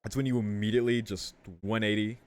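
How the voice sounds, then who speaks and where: Casting: male gaming host, lowish voice, casual and jokey, slang-heavy, reads dialogue boxes aloud. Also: flat delivery, fast, very noisy. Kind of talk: casual playthrough commentary, reading game text aloud. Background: game music.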